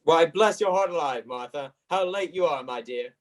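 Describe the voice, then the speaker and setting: A man's voice: fairly high pitch, lively intonation, moderate pace, lightly acted. A man playing a kindly, worrying old grandfather in a radio play. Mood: fond, welcoming.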